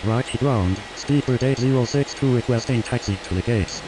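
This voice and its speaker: Man playing male airline pilot, businesslike, mid-pitched, scripted radio calls, flight game, heavy background noise. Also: moderate pace, natural voice.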